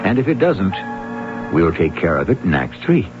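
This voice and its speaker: A man hosting a 1970s radio mystery drama, talking in a wry, deliberate, theatrical way. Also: sits low, big pitch swings. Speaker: radio drama host narrator. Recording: lo-fi old radio broadcast with music.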